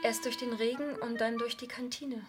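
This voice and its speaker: High-voiced, nervous young woman played by an actress. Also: steady tone, normal speed, music in the background, natural voice. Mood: nervous, pleading. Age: young adult. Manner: hesitant, stammering. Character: Martha, nervous, earnest young provincial woman applying to art school. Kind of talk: German radio drama dialogue.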